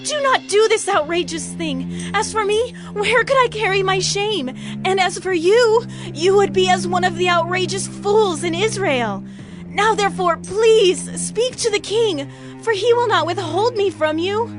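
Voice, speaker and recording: A woman's voice: extremely high pitch, unhurried, music in the background. A woman playing a desperate, pleading young princess in a dramatized audio Bible.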